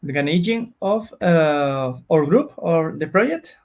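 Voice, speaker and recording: A male researcher with a Spanish accent speaks in a slightly high voice. He explains his work haltingly, often searching for words in his second language. The delivery is that of an interview guest. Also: animated. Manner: halting, searching for words in non-native English.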